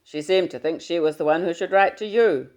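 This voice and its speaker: A woman reading aloud and voicing a cheerful middle-aged father, with a jovial, brisk and booming manner. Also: a little mellow, close to an everyday voice. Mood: hearty.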